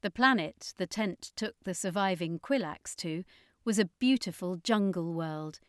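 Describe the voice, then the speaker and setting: A woman's voice: lowish voice, a little slow, no echo, clean studio sound. A woman reading a scripted adventure story as an audiobook narrator.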